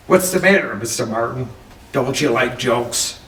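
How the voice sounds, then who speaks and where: An actor playing a coolly mocking gangster enforcer in a crime radio play, with deliberate, sardonic delivery. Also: male voice, mid-range voice, lively intonation, a bit bright, close to an everyday voice. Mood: mocking threat. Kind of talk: 1940s crime radio drama acting. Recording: echoey room, distant mic, background noise.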